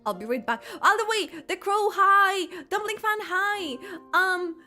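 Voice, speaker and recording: A woman's voice: very high voice, lively intonation, unhurried, pushed and bright, soft background music. A woman streamer giving casual, playful live reaction commentary on a video game.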